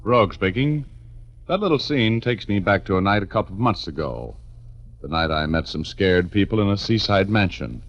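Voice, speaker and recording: A man's voice: low-pitched, expressive, normal speed, muffled recording. An American man playing a wry, easygoing private detective, narrating in breezy 1940s radio drama style with folksy hesitations. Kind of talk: 1940s radio acting, first-person narration. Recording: vintage radio broadcast, faint music.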